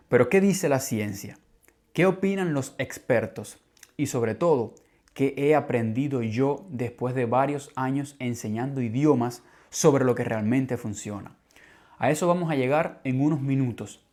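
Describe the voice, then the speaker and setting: Calm Cuban man hosting a Spanish lesson podcast. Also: medium pitch. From Cuba. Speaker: language teacher and podcast host. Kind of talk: scripted podcast narration for learners.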